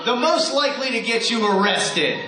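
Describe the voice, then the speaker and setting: A man's voice: very high voice, animated, moderate pace. A man hosting a live radio show as announcer, with a theatrical showman's delivery before a studio audience. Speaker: host and announcer. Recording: echoey live room with audience.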